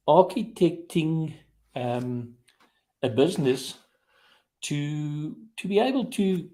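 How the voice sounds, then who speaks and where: A man hosting a podcast over a video call, talking slowly with many fillers and restarts. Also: a little high, a little mellow. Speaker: podcast host. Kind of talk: casual interview chat.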